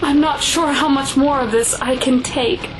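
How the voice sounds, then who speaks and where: A woman performs a guilt-ridden mother afraid for her son, her voice pitched high. She is emotional and pleading in audio drama style, with prominent music underneath. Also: fairly even intonation, moderate pace, natural voice, poor audio. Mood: worried, subdued.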